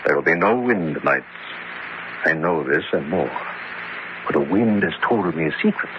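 An actor playing a haunted, proud scientist in a melodramatic horror radio drama, speaking deliberately with dramatic pauses. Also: male voice, mid-range voice. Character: grown scientist prodigy, haunted, proud, intense former pupil. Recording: muffled, noisy radio drama recording.

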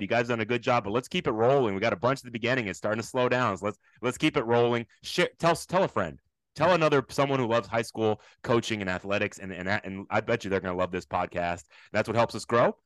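Male podcast host, quick and chatty, dry close sound. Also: mid-range voice, a bit bright, no room sound. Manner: upbeat and chatty. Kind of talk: casual podcast interview.

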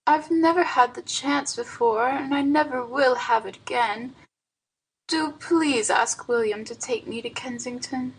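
A woman voicing a frail, wistful old aunt in a story reading, with a husky, plaintive, pleading voice. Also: high-pitched, a little slow, exaggerated voice, rough recording. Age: older.

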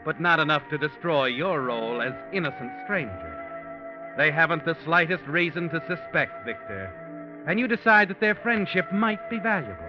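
An actor playing a sinister, knowing narrator, insinuating and taunting in dramatic 1940s radio suspense style. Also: male voice, a little high, lively intonation, moderate pace, natural voice. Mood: taunting, ominous. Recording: dull vintage radio with loud music.

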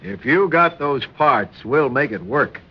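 A man's voice: mid-range voice, lively intonation, slow pace. An actor playing a loyal, respectful and practical merchant seaman in an old-time radio drama. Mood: easy, practical. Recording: dull, very noisy vintage radio recording.

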